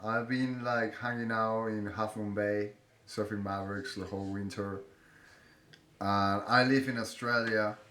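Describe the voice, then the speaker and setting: A man's voice: fairly low pitch, flat delivery, sharp tone, echoey room. A male surfer from Peru who speaks natively, tagging "no?" onto his sentences during a contest interview. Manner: slow, adds a questioning "no?" to his sentences.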